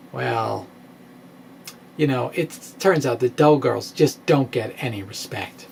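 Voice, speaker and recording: Slow-paced, wry middle-aged man reading theatrically. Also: mid-range voice, animated, gravelly, darkish tone, a little hiss or hum. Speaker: science blogger and presenter. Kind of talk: dramatic reading of a science blog. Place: small indoor room with bookshelves. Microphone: microphone on a stand, close in front.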